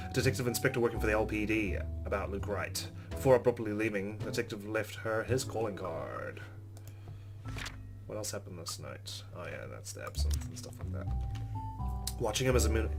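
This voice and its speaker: Casting: male let's play host, fairly low voice, mixes narration reading with casual remarks over music. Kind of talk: reading game narration aloud with casual commentary.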